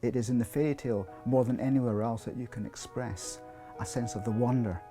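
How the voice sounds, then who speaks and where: A man speaking as an academic expert in an interview, explaining in a measured, scholarly way with hesitant phrasing. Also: medium pitch, steady tone, music in the background.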